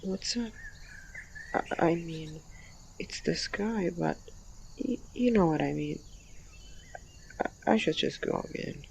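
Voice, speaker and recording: A woman's voice: on the low side, lively intonation, slow, gravelly, long pauses, a little mellow, a little hiss or hum, heavily acted. A woman playing a shy, insecure, sad male ghost in an audio drama, stammering and hesitant. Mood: nervous, apologetic.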